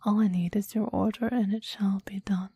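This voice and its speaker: A woman playing a clingy, deadly demon devoted to a master, in a breathy ASMR roleplay whisper with formal, old-fashioned phrasing. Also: mid-range voice, flat delivery, moderate pace, warm tone, dry recording. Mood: eager, murderous. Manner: formal, archaic, reverent phrasing.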